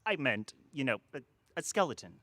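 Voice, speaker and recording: Woman as flustered, newly dead mortal, lively pitch. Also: a little fast, dry recording, exaggerated voice. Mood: uneasy, tentative. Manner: flustered, stammering, disbelieving. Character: bewildered, indignant human who has just died. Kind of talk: radio drama acting.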